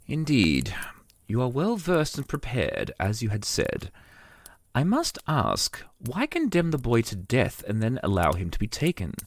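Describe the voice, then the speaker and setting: Gravelly, solemn keeper of souls. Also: male voice, medium pitch, huge pitch swings, dry recording, exaggerated voice. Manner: formal, measured, grave. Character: solemn male otherworldly keeper judging souls. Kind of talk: audio drama acting.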